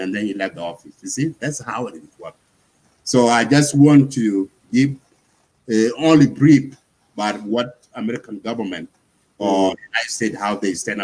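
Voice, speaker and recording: A husky-voiced man from talks through his points in a relaxed panel discussion. He explains patiently, repeating himself and asking "you see?" as he goes. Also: mid-range voice, rough recording. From South Sudan.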